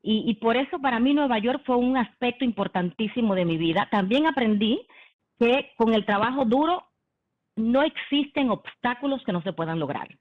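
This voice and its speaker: A woman's voice: fairly high pitch, expressive, normal speed. A woman in her forties, from the Dominican Republic, telling her own story in a leadership talk on a video call, with many "em" and "eh" fillers. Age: 40s. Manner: warm conversational, frequent "em" and "eh" fillers. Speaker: company executive giving a leadership talk. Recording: phone-like online meeting audio.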